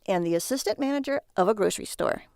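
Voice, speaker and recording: A woman voicing a sharp-tongued, exasperated but fond wife with a sarcastic, mocking manner in a narrated story. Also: mid-range voice, animated, fast talker, no echo, clean studio sound, close to an everyday voice. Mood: fondly teasing.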